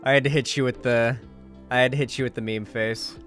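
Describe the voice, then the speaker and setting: A man hosting a live stream, chatting casually and informally with his viewers in an animated way. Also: mid-range voice, a little fast, soft background music. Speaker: livestream host.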